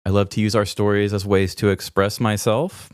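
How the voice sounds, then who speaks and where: A man's voice: lowish voice, little pitch movement, moderate pace, smooth, dry recording, clean studio sound. A man hosting a podcast, talking casually and narrating his own writing. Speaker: podcast host and story writer.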